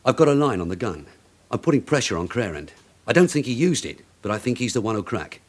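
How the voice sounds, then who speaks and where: An actor playing a shrewd, calculating police sergeant in a radio drama, pressing and needling with controlled questions. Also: male voice, mid-range voice, very animated, fast. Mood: firm, businesslike.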